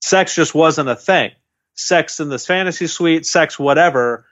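Guy co-hosting a podcast, sure of his takes, kinda high voice, dull dry audio. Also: a little slow, a bit bright, no room sound. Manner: opinionated, speculative. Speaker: podcast co-host. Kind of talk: casual podcast chat.

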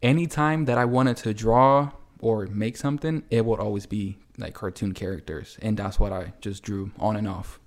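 A man's voice: lowish voice, fairly even intonation, normal speed, hardly any pauses. A young man from El Salvador, a guest artist answering questions in an easygoing, plain-spoken way at a kitchen table. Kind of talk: casual interview answers. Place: home kitchen.

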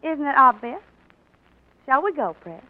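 A proud, spoiled Southern belle in a 1940s radio drama. Her voice is fairly high and very animated, coquettish and defiant, on a dull broadcast recording with music behind. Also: a little slow. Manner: coquettish, taunting.

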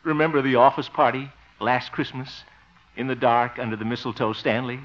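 A man's voice: medium pitch, moderate pace, a bit bright, natural voice. An actor playing a flustered, bumbling small-town man in a 1950s radio sitcom, with theatrical exclamations and exasperated muttering. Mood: pleading. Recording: muffled vintage 1950 radio broadcast.

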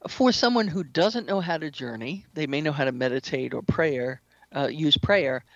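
A woman's voice: low voice, animated, normal speed, dry recording. A woman speaking as an interview guest, explaining things in a conversational, instructive way. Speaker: interview guest, shamanic practitioner and author. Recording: slightly dull lo-fi audio.

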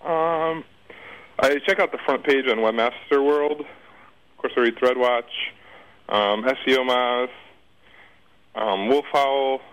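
A man's voice: medium pitch, takes it slowly, pauses often, fairly bright tone, clear, resonant tone, rough recording. A man answering casually as an interview guest, rambling off the cuff, repeating words and trailing off.

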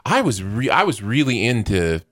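A man's voice: mid-range voice, big pitch swings, moderate pace, a bit bright. A man hosting a podcast in a casual, playful, bantering way. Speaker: podcast host. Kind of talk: casual banter and show intro.

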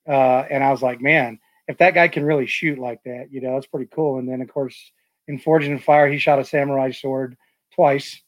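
A man's voice: mid-range voice, steady tone, moderate pace, no room sound, poor audio. Chatty male host, dry close sound. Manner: rambling, many fillers and restarts. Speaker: podcast host. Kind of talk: casual interview chat.

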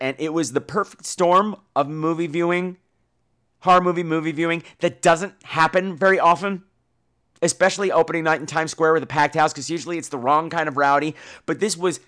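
A man hosting a podcast, giving casual, opinionated and profane film commentary in an animated way. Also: fairly high pitch, smooth. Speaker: podcast host.

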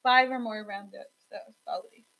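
A woman's voice: mid-range voice, fairly even intonation, normal speed, phone-quality sound. Blunt, crude-talking woman in her twenties. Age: 20s. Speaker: podcast co-host. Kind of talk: casual gossip chat.

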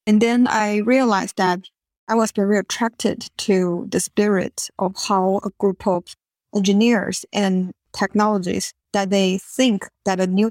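A woman, answering interview questions in an earnest, explanatory way with slightly halting phrasing over a remote call. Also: mid-range voice, a little mellow, no room sound. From Taiwan. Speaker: podcast guest.

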